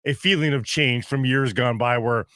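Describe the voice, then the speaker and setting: A man's voice: mid-range voice, normal speed, pushed and bright, dry recording, studio quality. A man hosting sports talk, chatting with dramatic emphasis. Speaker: sports talk show host. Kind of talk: sports radio chat.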